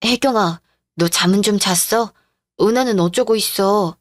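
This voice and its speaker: A woman's voice: mid-range voice, unhurried, dry recording, clean studio sound, lightly acted. A woman playing a concerned but blunt female friend in a radio-drama style story. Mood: concerned, scolding.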